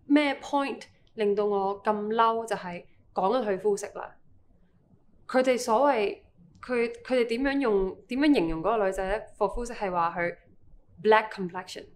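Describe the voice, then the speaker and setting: A woman in her twenties from Hong Kong, answering interview questions in a measured, deliberate way. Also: medium pitch. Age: 20s.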